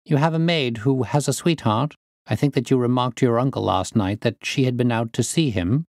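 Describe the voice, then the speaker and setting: A male reader acts a composed detective of a classic mystery. His questions are measured, polite and precise, in a lifted voice on a dry recording. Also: medium pitch, a little mellow, dry recording, voice pushed up, close to an everyday voice. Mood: courteous, probing. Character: composed, probing gentleman detective. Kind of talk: audiobook character reading.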